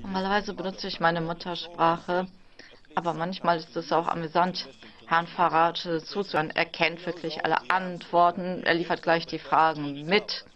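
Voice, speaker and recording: A woman's voice: fairly low pitch, level tone, normal speed, fairly bright tone, lo-fi sound. A woman working as an interpreter, giving a simultaneous translation of a parliamentary debate.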